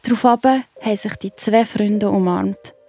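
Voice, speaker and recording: A woman's voice: medium pitch, fairly even intonation, measured pace, gravelly, mellow. A woman with a Swiss German accent narrating a scripted Bible story for children. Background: music.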